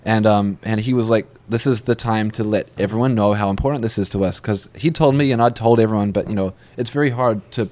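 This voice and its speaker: A man with a New Zealand accent chatting casually, telling stories in an animated way and swearing freely. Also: lowish voice, brisk, few pauses. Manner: animated storyteller who acts out quotes and swears freely. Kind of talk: casual chat.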